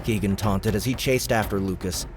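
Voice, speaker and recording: A man narrating a game-world audiobook in a steady, measured storytelling voice. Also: fairly low pitch. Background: background music.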